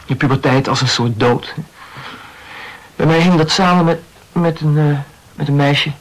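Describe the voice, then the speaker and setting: An actor playing a brooding, wryly morbid male poet in a Dutch radio play, speaking reflectively and haltingly in a gravelly voice. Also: a little high, lightly acted, poor audio. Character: brooding, self-absorbed male poet, wryly morbid about his youth.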